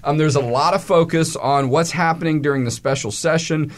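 A man's voice: on the high side, a little fast. A man hosting a studio talk show, speaking in a friendly, chatty, folksy way into a studio mic. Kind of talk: casual studio interview. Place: radio studio.

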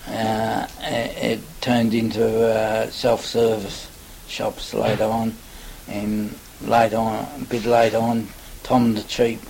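An older Australian man, in his seventies or beyond, reminiscing in a halting, rambling way for an oral history interview. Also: lowish voice, completely flat delivery, creaky, darkish tone, low-quality recording. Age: older, 70s or above. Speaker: interviewee recalling local history. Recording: faint hiss, amateur interview recording.

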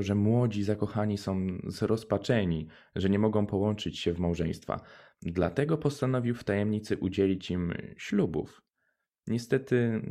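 A man's voice: low voice, dark tone. A young man co-hosting a radio show, moving between prepared narration and casual chat. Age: young adult.

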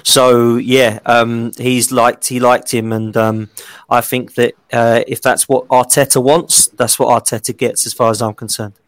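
Flat-toned confident man, dry sound. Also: mid-range voice, measured pace, no echo. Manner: confident, steady, declarative. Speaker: podcast co-host. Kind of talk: casual football chat.

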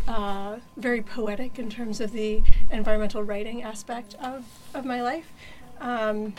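Thoughtful woman from New Hampshire, slightly high voice. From New Hampshire, USA. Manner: thoughtful, many fillers and restarts, self-deprecating humor. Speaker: sustainability outreach coordinator, guest. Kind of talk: conversational interview answers.

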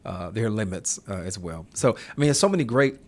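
A man hosting a casual conversation, relaxed and reflective, often affirming his guest. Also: lowish voice, fairly quick. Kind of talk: casual chat.

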